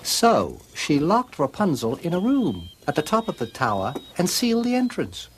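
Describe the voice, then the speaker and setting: A man narrating a scripted fairy tale as a storybook narrator, measured and expressive. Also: a little high, noisy background. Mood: foreboding.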